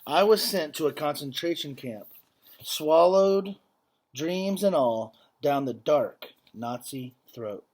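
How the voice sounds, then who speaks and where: A man reading a picture book aloud like a teacher to students, with measured phrasing and casual explanatory asides. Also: fairly high pitch, lively intonation, a little mellow.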